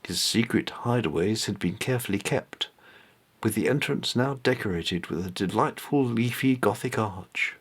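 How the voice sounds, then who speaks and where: A man's voice: fairly low pitch, moderate pace, breathy edge. A man narrating his own written story, reading it aloud in a careful, amateur storyteller's manner. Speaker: author reading own novelette.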